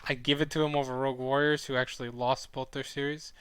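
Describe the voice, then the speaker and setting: A man's voice: medium pitch, level tone, quick pace, fairly bright tone, clean tone. Chatty young man with a strained voice. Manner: chatty and rambling, with frequent fillers and self-corrections. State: losing his voice, sore throat. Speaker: esports analyst streaming a weekly review. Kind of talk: casual live-stream commentary.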